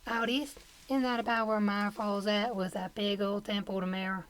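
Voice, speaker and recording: A woman's voice: medium pitch, flat delivery, moderate pace, slight background noise, lightly acted. A woman playing a curious, sceptical townswoman in an audio drama, conversational and questioning. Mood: puzzled.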